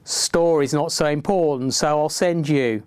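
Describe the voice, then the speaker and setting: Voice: medium pitch, a little slow, clear, resonant tone, no room sound, lightly acted. A performer playing a brusque, condescending 1970s newspaper boss in an improvised community radio drama. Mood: irritated, dismissive. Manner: curt, bossy, condescending.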